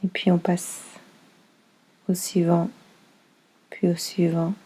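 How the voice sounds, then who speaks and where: A woman leading a guided yoga nidra relaxation as instructor, soothing and unhurried, speaking in short phrases with pauses. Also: lowish voice, little pitch movement, mellow, breathy edge, rough recording.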